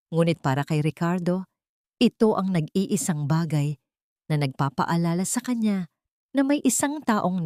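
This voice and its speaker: A woman's voice: fairly low pitch, a little mellow, no room sound, clean studio sound. A woman narrating a scripted audio drama in a solemn, literary storytelling manner.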